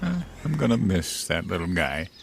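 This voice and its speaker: An actor playing a childish, sentimental American president in a comedic audio drama, with hesitant, wounded earnestness. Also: male voice, low voice, sing-song, moderate pace, gravelly, heavy background noise, exaggerated voice. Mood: wistful. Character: childish, sentimental male US president attached to his teddy.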